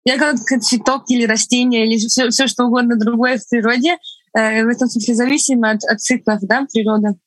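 A woman's voice: a little high, fairly even intonation, dry recording, low-quality recording. Laughing woman with a mixed foreign accent. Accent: foreign, mixed from several languages. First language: Estonian. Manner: laughs often, thoughtful with halting restarts. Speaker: guest. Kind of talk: relaxed conversational interview.

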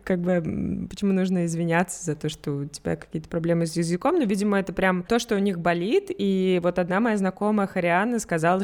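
A woman co-hosting a podcast, telling anecdotes in a casual, animated way, laughing often and restarting her sentences. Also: on the low side. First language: Russian. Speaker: podcast co-host. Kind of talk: casual conversational storytelling.

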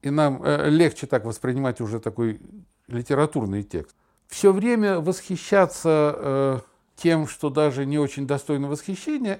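A middle-aged man talking casually and reflectively, telling anecdotes emphatically, with halting, repeated word starts. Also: a little high. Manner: animated, emphatic, halting with stammered repetitions. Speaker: podcast host.

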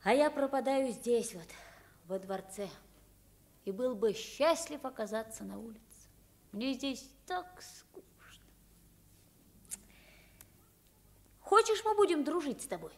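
A woman's voice: high voice, sing-song, normal speed. A woman playing a spirited, kind-hearted pauper boy mistaken for a king, with emphatic theatrical delivery in a radio play. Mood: wistful. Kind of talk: 1950s Russian radio play acting.

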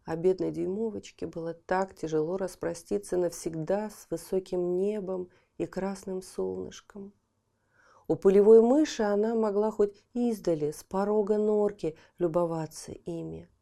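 A woman narrating a children's fairy tale audiobook, telling it expressively and dropping to a hushed voice at times. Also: medium pitch, fairly even intonation, normal speed, gravelly.

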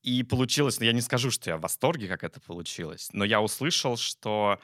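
A man's voice: medium pitch, lively intonation, fast talker, fairly bright tone, dry recording, studio quality. A man co-hosting a talk as a psychologist, chatting casually and reflectively, often searching for words. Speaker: psychologist and talk show co-host.

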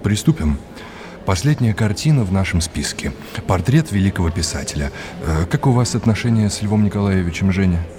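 An actor playing a courteous, erudite art lecturer in an audio drama, with an old-fashioned, didactic way of talking. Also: male voice, low-pitched, expressive, fairly quick, crowd noise. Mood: polite, curious.

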